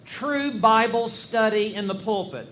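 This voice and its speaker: A man preaching an expository sermon in English, in short, deliberate, emphatic phrases that leave pauses for an interpreter. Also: high voice, a bit bright, poor audio. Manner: deliberate, emphatic, repetitive teaching delivery. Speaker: preacher teaching through an interpreter. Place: live before a gathered audience. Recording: live talk with clear background noise.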